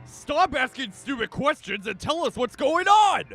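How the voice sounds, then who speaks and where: A man's voice: very high-pitched, very animated, measured pace, a bit bright, long reverb tail, faint music behind, heavily acted. A man voicing a jittery, anxious young man in a fan audio drama. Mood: angry. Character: jumpy, nervous young man.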